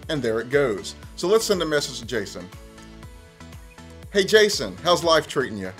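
A man's voice: fairly high pitch, big pitch swings, normal speed, a bit bright. Casual man giving a tech tutorial, music behind. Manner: conversational, explains step by step. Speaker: tech presenter. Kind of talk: casual how-to tutorial narration.

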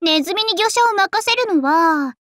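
Super high girly anime game dragon princess, vain and playful, voice swooping everywhere, dry recording. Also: animated, normal speed, pushed and bright, no room sound, exaggerated voice. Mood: haughty, teasing. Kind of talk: Japanese anime game character voice lines.

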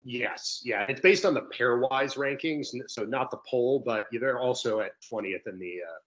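A man's voice: medium pitch, moderate pace, a bit bright. A young man giving conversational sports analysis as a guest announcer on a video call. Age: young adult. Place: indoor room on a video call. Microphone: video call microphone. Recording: dull, slightly lo-fi call audio.

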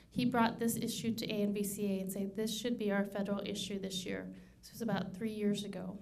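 Woman speaking persuasively at a podium. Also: on the high side. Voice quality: soft voice. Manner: energetic, persuasive, rhetorical questions. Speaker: conference speaker. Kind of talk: conference presentation. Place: university conference room, at a podium. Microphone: podium microphone.

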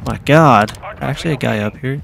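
A young man with a stuffy, slightly nasal voice from a cold, talking through his own game. He mutters low and then bursts into excited yelps, all over constant loud gunfire and game noise. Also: normal speed, gravelly. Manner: reactive, swings from mutters to excited shouts. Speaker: gaming streamer. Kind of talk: casual gameplay commentary.

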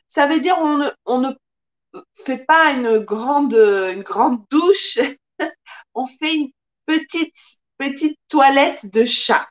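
A woman in her thirties teaching a live-streamed French lesson, speaking carefully and expressively, recorded by a webcam in a small room. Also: high-pitched. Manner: slow, careful, expressive teacher with lots of hand gestures. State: occasional coughing from pollen allergies.

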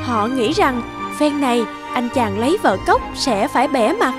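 A woman's voice: sits high, animated, normal speed. A woman narrator reading a scripted fairy tale for children. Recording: music under the voice.

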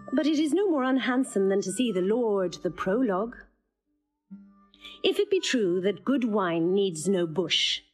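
An actress playing a witty, poised lady addressing the audience in Shakespearean prose, arch and measured. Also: medium pitch. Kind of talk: classical Shakespearean stage prose.

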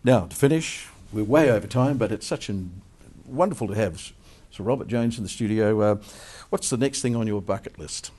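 New TV host, mellow voice. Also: male voice, fairly low pitch, animated, fairly quick. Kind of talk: studio interview. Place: dark television studio. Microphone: lapel.

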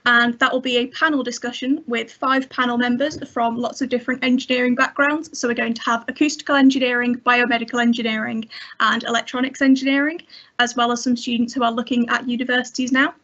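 A woman's voice: a little high, steady tone, quick pace, fairly bright tone. Young woman hosting over a headset. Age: young adult. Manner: friendly, reads closing announcements. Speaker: host. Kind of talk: hosting online event. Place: indoors in a bedroom. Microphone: headset on video call. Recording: dull lo-fi video call.

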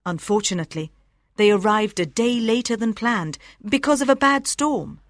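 A woman reading scripted storybook narration for language learners, with careful, expressive enunciation. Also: medium pitch, moderate pace, no room sound, studio quality. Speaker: audiobook narrator.

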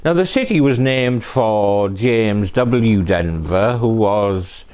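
A man's voice: fairly low pitch, expressive, takes it slowly, a little mellow, smooth. A middle-aged man hosting a true crime show, narrating from a script phrase by phrase, with playful asides. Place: living room sofa, indoors.

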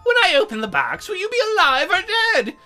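A man voicing a prim, teasing young female demon from a video game, questioning in a slow, coy way. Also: very high voice, sing-song, gravelly, pushed and bright, soft background music, voice pushed up, exaggerated voice.

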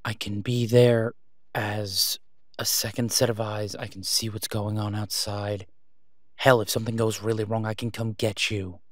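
A man playing an exasperated, protective vigilante in a phone-call audio roleplay, speaking urgently and emphatically, with pleading pauses. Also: on the low side, level tone, dry recording, studio quality, close to an everyday voice. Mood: worried, pleading. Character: exasperated, protective masked male vigilante on patrol.

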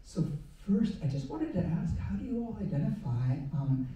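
A man's voice: fairly high pitch, normal speed, distant mic. A man moderating an art panel on a museum stage, speaking casually with many ums into a handheld mic. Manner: relaxed, many ums and uhs. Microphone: handheld mic, far camera. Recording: echoey hall, heard from afar.

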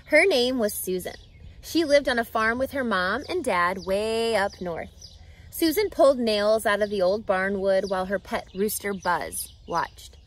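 Animated woman reading a picture book aloud. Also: mid-range voice, measured pace. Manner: expressive, teacherly, emphasising key words. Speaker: reading teacher, read-aloud host. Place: outdoors, grassy lakeside yard. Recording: outdoor ambient background noise.

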